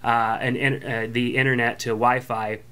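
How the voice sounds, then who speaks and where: Bright, smooth-voiced male podcast host. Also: medium pitch, normal speed. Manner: relaxed, filler-heavy, friendly. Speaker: podcast host reviewing an app. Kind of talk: casual product review voice-over.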